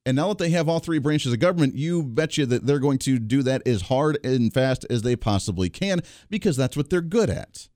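A male radio host delivers political commentary in an opinionated, sarcastic way, tossing in quick asides. His voice sits at a middle pitch. The recording is dry and close, with no room sound. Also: lively intonation, a little mellow, no room sound. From Kansas area, United States. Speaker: radio talk show host.

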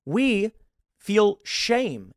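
A man in his late thirties giving political commentary in a sarcastic, mocking tone. His voice sits fairly high and jumps around a lot. The sound is dry and close, with no room echo. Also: sing-song, extremely slow. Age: 30s. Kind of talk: reacting to a video, commentary.

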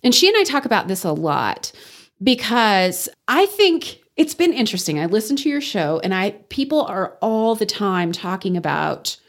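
A woman from the Texas Panhandle speaks as a guest on a radio show. She talks loudly and earnestly, and her pitch leaps up when she stresses a word. Her voice sits in an ordinary middle register. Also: very animated, moderate pace. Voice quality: loud. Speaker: interview guest. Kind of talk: radio interview conversation.

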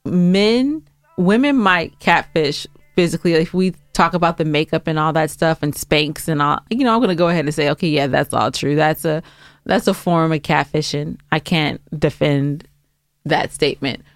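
A woman's voice: low-pitched, moderate pace, dry recording. An American woman co-hosting a podcast, bantering casually in a blunt, teasing, opinionated way, close on the microphone. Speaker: podcast co-host.

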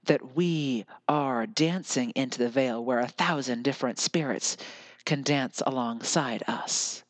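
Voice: medium pitch, unhurried, no echo. An American podcast host speaking alone in an earnest, musing way, often drifting into lyrical repetition. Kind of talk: reflective solo podcast monologue.

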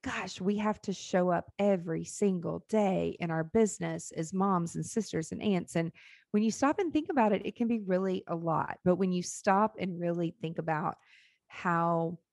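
A woman's voice: lowish voice, moderate pace, gravelly, a little mellow, no echo, clean studio sound. A woman hosting a business podcast in an upbeat, folksy way, greeting listeners with "y'all". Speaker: podcast host. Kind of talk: casual interview chat.

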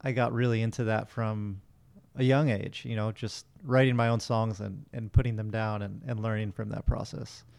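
A man's voice: on the low side, flat delivery, vocal fry, a little mellow. A man from Miami, a musician, talking in an easygoing, friendly way in an interview. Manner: easygoing, friendly, slightly hurried. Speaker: guest musician. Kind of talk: casual interview.

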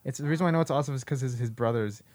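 A man's voice: medium pitch, racing, darkish tone, dry recording. A young man chatting casually with friends about old times, slangy and eager to agree. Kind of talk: casual chat, reminiscing with friends.

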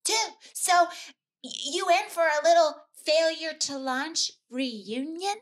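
A woman doing an impression of a gushing, desperate middle-aged actress in a comedy sketch, with drawn-out theatrical phrasing. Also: high-pitched, voice pushed up. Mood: eager pleading. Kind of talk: comedy sketch table read.

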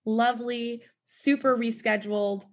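A woman's voice: on the high side, steady tone. A woman hosting a podcast, chatty and playful, greeting and questioning her guest. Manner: chatty, playful, quick reactions. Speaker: podcast host. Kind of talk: podcast interview.

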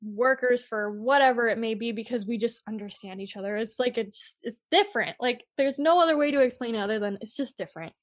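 A woman's voice: on the high side, a little fast, few pauses, no echo. A woman in her twenties, a real estate agent, answering interview questions in a blunt, casual way and acting out quotes as she goes. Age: 20s. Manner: blunt, animated retelling with quoted asides and frequent "like".

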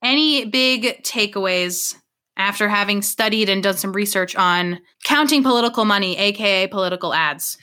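A woman's voice: mid-range voice, normal speed, sharp tone, clear, resonant tone. A young woman from Maine co-hosting a podcast, chatting in an earnest, casual way with sighs and laughs. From Portland, Maine, US. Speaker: podcast co-host.

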